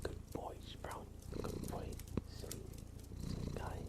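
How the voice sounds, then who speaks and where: A man hosting an ASMR livestream, speaking softly with playful asides as he chats. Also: unhurried. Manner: soft-spoken, playful asides to a pet cat. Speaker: livestream host. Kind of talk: whispered ASMR livestream chat.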